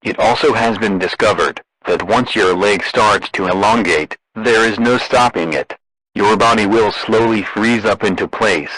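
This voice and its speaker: A man's voice: fairly low pitch, a bit bright, no echo, poor audio. A man delivering a scripted emergency broadcast as an announcer, in a formal, impersonal way.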